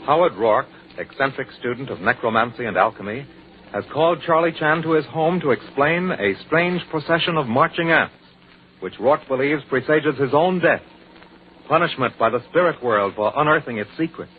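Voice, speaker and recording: A man's voice: medium pitch, expressive, normal speed. An American man announcing and narrating a scripted 1940s radio show in a formal, emphatic, declamatory way. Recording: scratchy, tinny 1940s radio broadcast.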